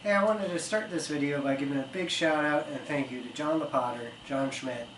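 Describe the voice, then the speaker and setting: A man with a cold and a raspy voice, casually explaining pottery as he throws pots on the wheel, thinking aloud with fillers and self-corrections. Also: a little high, fairly quick, rough recording. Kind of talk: casual instructional talk. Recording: loud background noise.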